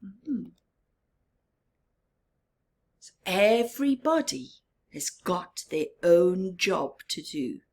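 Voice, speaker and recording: A woman storyteller reading a picture book to children expressively, with dramatic emphasis, drawn-out words and hushed, chatty asides. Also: on the low side, very animated.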